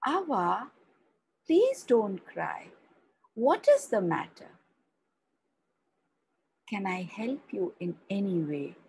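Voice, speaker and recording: A woman's voice: mid-range voice, sing-song, slow, long pauses, a little mellow, slight background noise, low-quality recording. A woman voicing a loving, worried twelve-year-old girl who pleads affectionately in a storybook reading. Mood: worried, pleading.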